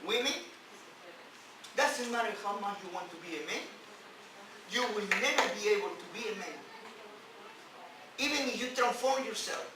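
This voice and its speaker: Rabbi guy preaching w non-native english, highish voice, emphatic, stops n repeats, super echoey room. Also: lively intonation. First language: not English. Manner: emphatic, halting phrasing with repetition and rhetorical questions. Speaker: rabbi giving a teaching. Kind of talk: sermon on scripture. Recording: distant, very echoey, noisy room recording.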